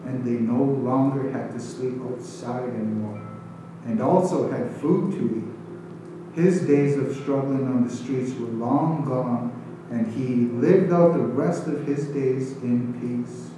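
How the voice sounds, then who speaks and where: A man talking in an unhurried, deliberate way, with casual answers and simple storytelling. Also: mid-range voice, background noise. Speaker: guest storyteller. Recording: roomy, distant, music behind.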